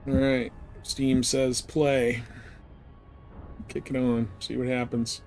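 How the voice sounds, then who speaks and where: A man's voice: mid-range voice, little pitch movement, slow pace, darkish tone, soft background music. A man giving casual, live commentary as he streams a video game.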